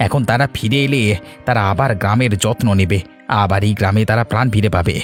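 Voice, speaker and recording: Man playing cartoon landlord's henchman, fast-talking, earnest, repentant, music behind. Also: on the low side, close to an everyday voice. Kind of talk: Bengali moral-tale cartoon dialogue.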